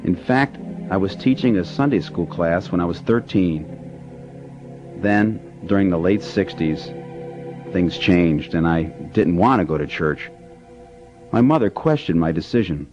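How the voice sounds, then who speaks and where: A man in a radio drama narrating his own story in a calm, measured way. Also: sits low. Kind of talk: scripted first-person narration. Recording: lo-fi radio with music under.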